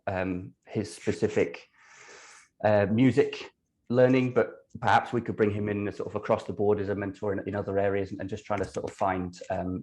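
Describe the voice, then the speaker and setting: A man with a hoarse, raspy voice joining an online workshop, speaking tentatively and hedging his points over a video call. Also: on the low side, a little mellow, poor audio. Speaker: workshop participant.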